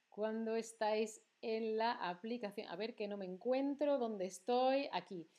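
A woman's voice: a little high, takes it slowly, dark tone. A young woman from southern Spain who uses a neutral standard accent and teaches Spanish on a live stream, talking to viewers and reading out their chat messages. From Andalusia, Spain. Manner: chatty, asks viewers questions and reads out chat. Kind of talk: live-stream language lesson.

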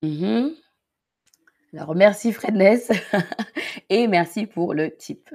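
A woman's voice: medium pitch, very slow, vocal fry, a little mellow. A woman with a Parisian accent, from the Paris region, teaching a live-streamed French lesson with careful explanations and repeated key words. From Paris region, France.

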